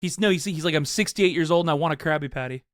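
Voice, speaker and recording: A man in his early thirties chatting on a podcast with low-key, deadpan humor. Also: quick pace, no echo, studio quality. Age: 30s. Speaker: podcast co-host. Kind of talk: casual chat.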